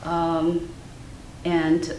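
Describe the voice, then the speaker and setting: An older woman, a guest artist whose first language was Ukrainian, talking in a thoughtful, measured way with many fillers. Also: lowish voice, completely flat delivery, a little mellow. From Cleveland, Ohio, US. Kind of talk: casual interview conversation. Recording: echoey room from far off, faint noise.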